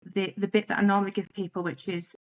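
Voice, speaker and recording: A woman's voice: mid-range voice, flat delivery, fast, background noise. Chatty Yorkshire woman hosting. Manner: chatty, laughs often. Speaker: podcast host. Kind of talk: friendly interview.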